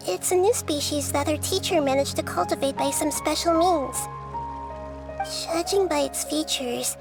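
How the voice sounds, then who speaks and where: Tiny wise goddess girl from a game, super high, careful and thoughtful, music behind. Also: creaky. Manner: measured, earnest, analytical. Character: gentle, thoughtful little girl deity, wise beyond her years. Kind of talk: video game character dialogue.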